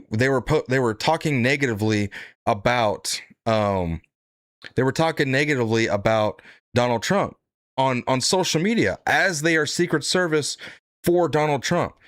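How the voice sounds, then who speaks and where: A man's voice: medium pitch, expressive, normal speed, a bit bright, no echo, studio quality. A man hosting casual political reaction commentary, blunt and profane, rambling with frequent "right?" tags.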